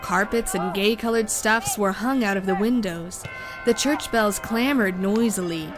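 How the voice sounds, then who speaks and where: A woman narrating a classic tale in a steady, measured reading. Her voice sits at a middling pitch for a woman. Music plays clearly behind her. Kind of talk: scripted storybook narration.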